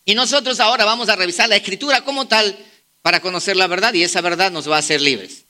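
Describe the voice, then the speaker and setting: A man preaches with a high, very bright voice that cuts through. He drives his points home with repeated rhetorical questions to the congregation, speeding up and slowing down for emphasis. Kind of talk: sermon.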